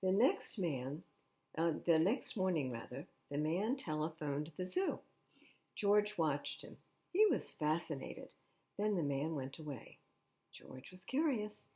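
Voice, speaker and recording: A woman reading a picture book aloud to children at storytime, expressively, pausing to explain the pictures in simple words. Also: low-pitched, sing-song, warm tone. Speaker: library storytime reader.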